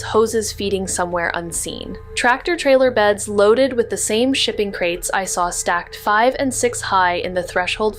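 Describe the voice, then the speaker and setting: Actress as a measured noir investigator, music beneath. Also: female voice, medium pitch, lightly acted. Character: tense, observant woman investigator narrating a noir mystery. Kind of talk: noir podcast drama narration and dialogue.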